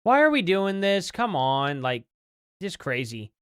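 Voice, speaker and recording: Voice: medium pitch, sing-song, unhurried, a bit bright, clear, resonant tone, no room sound, studio quality. An American livestream host chatting casually and informally to viewers, rambling along and reacting to things. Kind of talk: casual livestream chat, reacting to clips and news.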